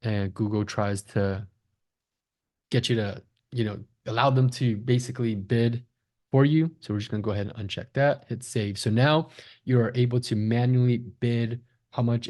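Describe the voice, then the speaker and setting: A man's voice: medium pitch, fairly even intonation, normal speed, darkish tone. A man giving a conversational step-by-step screen-share tutorial as an instructor, often checking in with short tags. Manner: conversational, frequent check-in tags like "right?" and "okay?". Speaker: online marketing instructor, agency founder.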